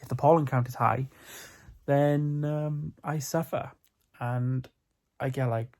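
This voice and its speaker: A man's voice: fairly even intonation, slow pace, a little mellow. A young British man who sounds stuffed up from hay fever, chatting casually to camera at home as a vlogger, rambling with lots of fillers. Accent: British English. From United Kingdom. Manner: rambling, filler-heavy, low-key. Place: at home, indoors.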